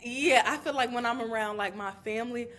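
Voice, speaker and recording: A woman from Chicago with an American accent hosting a casual podcast interview in animated, slangy, girlfriend-style talk. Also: on the high side, brisk. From Chicago, United States. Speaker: podcast host. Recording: clear background noise.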